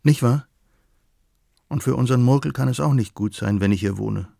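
A man's voice: mid-range voice, normal speed, gravelly, dark tone, no echo, studio quality, voice pushed up. A man reading the part of an anxious, pleading young pregnant wife in a novel, urgent and coaxing.

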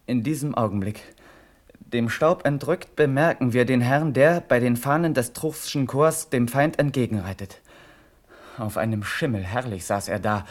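An actor playing a grave, impassioned cavalry officer, declaiming measured verse in a classical German radio drama. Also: male voice, mid-range voice, natural voice. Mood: solemn, rousing.

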